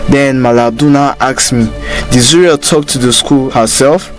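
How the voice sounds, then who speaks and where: A man's voice: medium pitch, moderate pace, natural voice. A worried young Nigerian man, played by an actor in a radio drama. His voice sits in a middle range, earnest and emphatic, repeating his points. Faint music plays behind him. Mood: uneasy.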